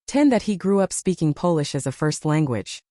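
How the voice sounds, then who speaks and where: A woman's voice: low voice, big pitch swings, moderate pace, mellow, no room sound, studio quality. A woman narrating a reddit story aloud, reading out a long numbered list and lifting her voice on each number.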